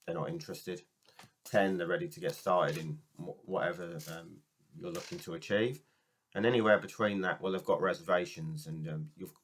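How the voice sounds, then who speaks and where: A man's voice: low voice, fairly bright tone. A man from the UK with a cold and a sore throat, giving a casual, rambling talk to camera as a business coach. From United Kingdom. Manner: rambling, many ums and restarts. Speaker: network marketing business coach.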